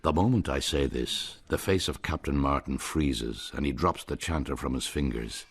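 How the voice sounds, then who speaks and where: A man's voice: very deep voice, moderate pace, vocal fry, slightly rough. A man with an Irish accent narrating a story in the first person, with a wry, humorous delivery.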